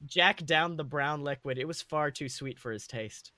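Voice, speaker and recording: A man's voice: on the high side, normal speed, bright, forceful tone, no echo. A man co-hosting a podcast, animatedly narrating comic fan fiction and chatting casually. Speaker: podcast co-host.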